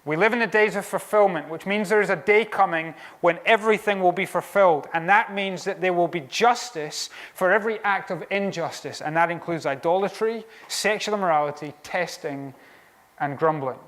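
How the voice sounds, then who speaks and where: A Scottish man preaching a sermon in an animated, conversational way, with wry asides. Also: high voice, moderate pace. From Scotland.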